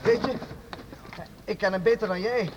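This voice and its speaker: A man's voice: sits high, moderate pace, lo-fi sound, close to an everyday voice. An actor playing an earnest, loyal young man in an old radio drama. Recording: old radio play recording with hiss.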